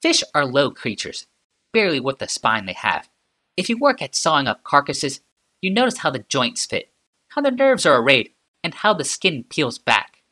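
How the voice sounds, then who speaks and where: A man voicing a sardonic female inventor in an audiobook, calm and mocking in tone. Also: medium pitch, normal speed, voice pushed up, heavily acted. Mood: musing. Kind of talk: audiobook character reading.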